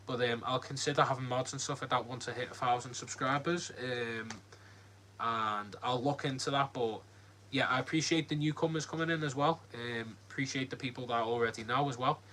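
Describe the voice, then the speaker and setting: A man's voice: medium pitch, brisk, bright, forceful tone, a little hiss or hum. A man with a Liverpool accent hosting a casual live Q&A, rambling and friendly as he reads out the chat. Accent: Liverpool (Scouse). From Liverpool, England. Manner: rambling, many ums and likes, friendly. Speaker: livestream host. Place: home, lit by a ring light.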